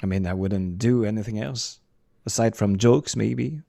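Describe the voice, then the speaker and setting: A middle-aged man with a French accent, a musician guest chatting casually and often pausing to search for English words. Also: lowish voice, vocal fry, dark tone, no room sound, clean studio sound. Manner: halting, many restarts and fillers, searching for English words. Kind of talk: casual interview chat.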